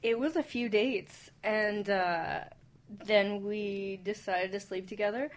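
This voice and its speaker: A woman's voice: medium pitch, takes it slowly. A woman chatting candidly and bawdily as a panelist on a radio talk show. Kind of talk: candid, bawdy casual chat.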